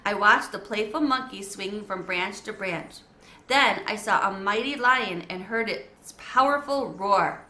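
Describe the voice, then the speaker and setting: A woman's voice: medium pitch, a little slow, bright, forceful tone. A woman voicing an earnest young schoolboy. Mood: reading. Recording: echoey room.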